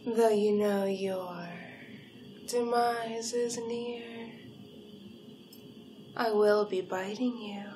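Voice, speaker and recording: Very slow, seductive vampire villainess played by a woman. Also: a little high, fairly even intonation, dark tone, slight background noise. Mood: menacing, seductive. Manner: slow, breathy, deliberate, often dropping into whispers. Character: seductive hungry vampire villainess, hypnotic and poised. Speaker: roleplay performer. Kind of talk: ASMR hypnosis roleplay, in character.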